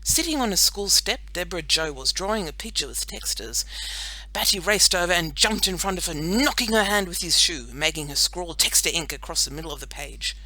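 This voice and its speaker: Woman, children's audiobook narrator, expressive, animated intonation, very bright tone, dramatic pauses. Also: fairly low pitch, no room sound, rough recording.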